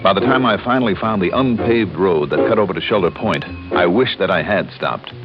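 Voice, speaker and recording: A man acting as a hard-boiled male insurance investigator from a sixties radio mystery. His voice sits fairly low, and he talks in a wry, clipped, sardonic way. The old broadcast sounds dull, with loud music around it. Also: a little fast, lightly acted. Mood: narrating, weary. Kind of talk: 1960s radio drama acting and first-person narration.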